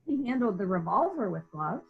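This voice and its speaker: A woman playing a brisk, probing investigator who questions witnesses deliberately in a murder mystery reading. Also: mid-range voice, big pitch swings, creaky, husky, phone-quality sound, exaggerated voice. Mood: pointed, businesslike.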